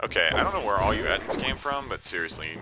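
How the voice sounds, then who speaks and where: Congested young man narrating gameplay, low-voiced. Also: lively intonation, fast, very noisy. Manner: joking, self-talking. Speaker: let's play commentator. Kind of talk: casual gameplay commentary. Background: loud game music.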